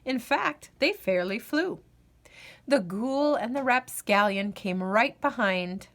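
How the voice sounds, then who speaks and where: A woman reading a children's storybook aloud as a storyteller, in a deliberate, dramatic, expressive way. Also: fairly high pitch. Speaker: storyteller, host of a read-aloud show.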